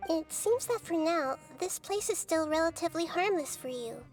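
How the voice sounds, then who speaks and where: A woman voicing a gentle, wise young girl deity in a game, speaking calmly and thoughtfully. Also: very high voice, moderate pace. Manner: calm, thoughtful, softly cautioning. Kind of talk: game cutscene dialogue. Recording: game audio with music bed.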